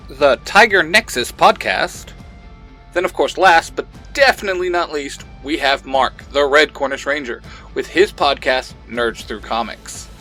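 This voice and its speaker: A man hosting his own podcast, reading out credits and giving shout-outs. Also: on the high side. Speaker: podcast creator and host.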